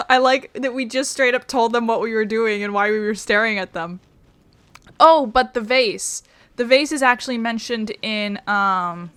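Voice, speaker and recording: A woman's voice: on the high side, fairly bright tone, clear, resonant tone, no room sound. A young woman giving casual let's play commentary, chatting and rambling as she goes. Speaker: gaming commentator. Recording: faint game music behind the voice.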